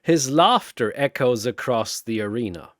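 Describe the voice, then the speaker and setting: Male narrator for scripted action story: theatrical, unhurried, highly expressive intonation, close dry sound, punchy phrasing. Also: no room sound. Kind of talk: dramatic scripted battle narration.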